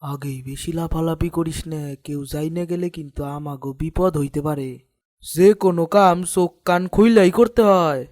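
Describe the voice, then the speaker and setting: A man's voice: a little high, normal speed, darkish tone, close to an everyday voice. A man voicing a sly, greedy older village headman in a cartoon, speaking in an East Bengali dialect with a self-important, conspiratorial manner. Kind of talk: cartoon dialogue acting.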